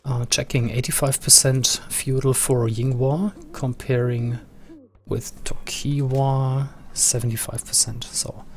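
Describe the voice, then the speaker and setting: A man with a voice going hoarse, giving understated live commentary on a video game match. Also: mid-range voice, flat delivery, slow pace. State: losing voice, slightly hoarse. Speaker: esports match caster.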